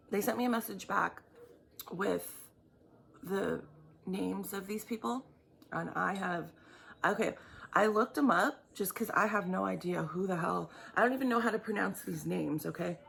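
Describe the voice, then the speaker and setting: A woman giving a tarot reading in a chatty, rambling way, apologizing often, her voice raspy from just waking up. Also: medium pitch, measured pace, a bit bright, a little hiss or hum. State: raspy morning voice. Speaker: tarot reader. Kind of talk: casual vlog talk.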